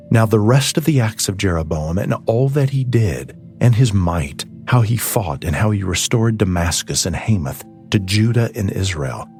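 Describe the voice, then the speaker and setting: A man narrating scripture as a scripted audiobook reading, with measured, solemn phrasing. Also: lowish voice, darkish tone. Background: ambient music.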